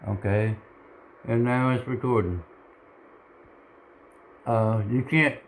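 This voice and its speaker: A man giving an informal hands-on tutorial in a halting, plain-spoken, self-deprecating way, recorded indoors on a handheld device. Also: mid-range voice, rough recording. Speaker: hobbyist video tutorial presenter. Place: indoors at a home radio bench. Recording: clear background noise, room ambience.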